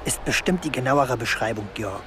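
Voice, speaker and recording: A man's voice: medium pitch, normal speed, a bit bright, slight background noise, natural voice. A young actor playing an angry eighteen-year-old son defending his sister, curt and bitter. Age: young adult.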